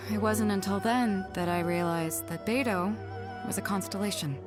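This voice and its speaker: A woman's voice: mid-range voice, normal speed, music in the background, lightly acted. An actress voicing a proud, tough adult pirate ship captain in a game cutscene, telling her past in a wistful, wry, confident way. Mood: somber. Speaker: game character. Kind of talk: dubbed video game cutscene dialogue.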